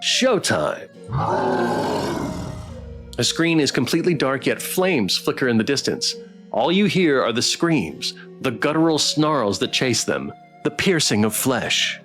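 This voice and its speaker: A man's voice: mid-range voice, sing-song, unhurried, a bit bright. A man streaming a visual novel playthrough, reading and reacting in a theatrical storytelling way. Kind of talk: visual novel playthrough with read-aloud narration and chat reactions. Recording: music behind the voice. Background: music.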